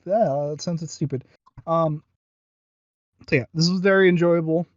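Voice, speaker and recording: Higher-voiced man, dry lo-fi podcast sound. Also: fairly even intonation, a little slow, no room sound. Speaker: podcast host. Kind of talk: casual review chat. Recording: dry but dull lo-fi audio.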